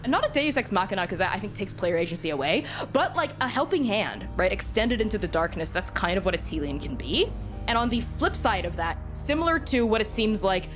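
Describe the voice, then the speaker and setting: A woman talking casually and enthusiastically as a podcast guest and game master, informal and animated. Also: mid-range voice, fairly quick, music in the background.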